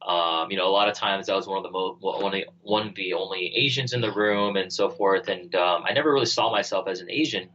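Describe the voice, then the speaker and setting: The guest on a career podcast, a man with a forceful low voice, working out his answers as he goes, on slightly dull audio. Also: a little hiss or hum. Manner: halting, thinking aloud, heavy use of "like" and "you know". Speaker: interview guest, startup co-founder and former army officer. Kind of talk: conversational podcast interview answers.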